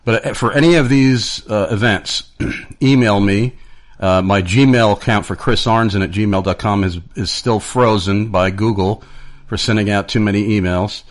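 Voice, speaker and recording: A man hosting a radio interview show, talking in a plain, measured way with frequent fillers. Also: fairly low pitch.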